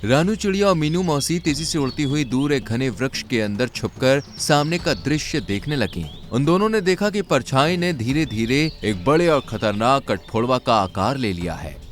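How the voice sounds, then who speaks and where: A male storyteller reads a scripted children's tale. His voice sits at a middle pitch and moves with animated intonation. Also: moderate pace, hardly any pauses. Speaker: narrator.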